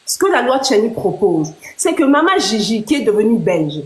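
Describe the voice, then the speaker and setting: A woman's voice: a little high, big pitch swings, normal speed. A woman hosting a live debate, firmly cutting in and explaining her point step by step. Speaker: live show host. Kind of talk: moderating a heated debate. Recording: roomy echo.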